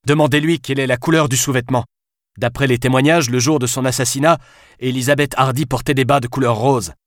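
A man reading aloud, voicing a brisk, businesslike male federal agent. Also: mid-range voice, steady tone, no room sound, clean studio sound, pitched above the performer's own voice, close to an everyday voice.

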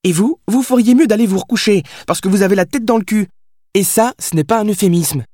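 Guy doing a mouthy young woman for an audiobook, lighter higher voice, sassy, pushy, slangy. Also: fairly quick, darkish tone, no echo, clean studio sound. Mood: indignant, defiant. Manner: cheeky, insistent, colloquial. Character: brash, sassy, foul-mouthed young woman fallen from a novel. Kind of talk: audiobook character dialogue.

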